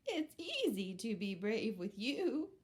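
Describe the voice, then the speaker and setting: A woman's voice: on the high side, very animated, takes it slowly. A woman voicing a timid goat in a picture book. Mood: pleading. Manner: pleading, then bravado. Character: timid goat trying to seem brave.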